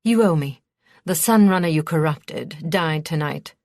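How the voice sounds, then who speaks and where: A woman's voice: low voice, unhurried, a little mellow, dry recording, clean studio sound. A woman voicing a stern, icy lady of authority in an audiobook, blunt and imperious. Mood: firm, insistent.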